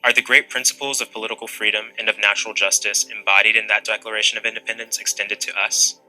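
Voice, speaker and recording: Low, brisk man reciting oratory. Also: level tone, pushed and bright, soft background music, low-quality recording.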